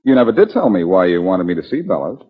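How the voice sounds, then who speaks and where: An actor playing a wry, shrewd, self-assured amateur detective in a 1940s radio drama, probing with deceptively casual questions. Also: male voice, low voice, big pitch swings, quick pace, husky, heavily acted. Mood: relaxed, wry. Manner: probing, wry, hesitating before pointed questions. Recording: dull, noisy 1940s radio recording.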